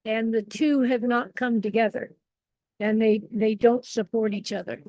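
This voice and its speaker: A woman hosting an online panel, talking in halting starts with many restarts and repeated words. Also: a little high, steady tone, darkish tone, no room sound, rough recording. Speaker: event host and moderator. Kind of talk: casual panel moderation.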